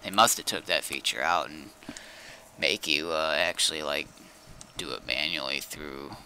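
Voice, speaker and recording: A man's voice: rumbling low, measured pace, sharp tone, slight background noise. A man chatting casually on a gaming livestream, rambling and thinking aloud as he goes.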